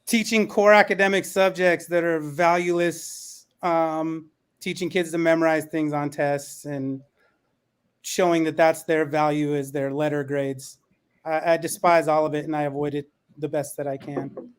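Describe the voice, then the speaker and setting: Male educator on a panel, higher-pitched, smooth, even delivery. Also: a little slow. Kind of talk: considered panel talk.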